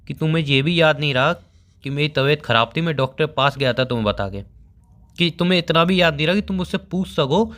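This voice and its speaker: A man's voice: fairly high pitch, a bit bright, close to an everyday voice. A young man playing a hurt, sulky boyfriend in an everyday phone-call drama, sarcastic and reproachful.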